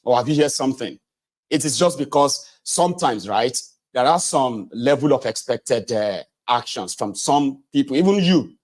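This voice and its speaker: A man's voice: medium pitch, expressive, moderate pace, a little mellow. Nigerian man hosting a call-in show. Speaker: host of a political call-in show. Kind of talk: casual live phone-in talk.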